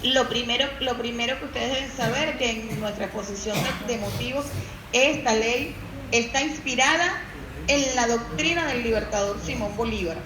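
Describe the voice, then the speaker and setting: A woman's voice: a little high, normal speed, a crowd in the background. A woman giving a public presentation, setting out points of a law. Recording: echoey room with clear background noise.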